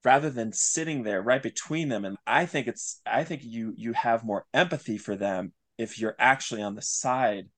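A man's voice: mid-range voice, moderate pace, hardly any pauses, fairly bright tone. A man speaking as an interview guest, explaining his creative thinking in an earnest, discursive, analytical way. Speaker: interview guest, audio drama writer. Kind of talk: reflective interview talk about craft.

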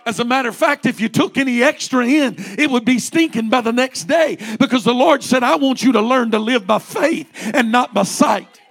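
High-voiced man preaching fervently. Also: normal speed, gravelly, few pauses, fairly bright tone. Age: 40s. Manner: fervent preaching with rising repeated refrains. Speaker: pastor. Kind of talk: sermon.

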